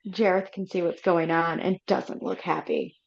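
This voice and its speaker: A woman's voice: on the low side, fairly even intonation, normal speed, creaky. A woman narrating a live read-through of a film script over a video call, reading steadily with small slips. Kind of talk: reading stage directions aloud. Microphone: computer mic on a video call. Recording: slightly dull video call audio.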